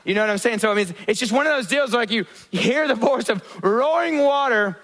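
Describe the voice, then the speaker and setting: A male pastor preaching a sermon, asking the congregation questions and acting out quotes in lively voices. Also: extremely high pitch, a little fast. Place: church.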